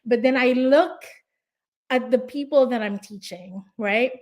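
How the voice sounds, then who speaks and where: A woman with a Filipino accent speaks in a somewhat high voice, earnest and encouraging as she gives a motivational speech. She sounds a little tired, often restarting or repeating a phrase before pressing on. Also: measured pace, a little mellow. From Philippines. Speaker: real estate investor and coach.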